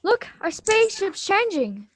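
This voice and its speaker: A woman's voice: very high-pitched, sing-song, measured pace, no room sound, exaggerated voice. A woman playing an eager, polite schoolgirl granddaughter in a script reading of a radio drama, earnest and sometimes stumbling over lines. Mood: excited, curious.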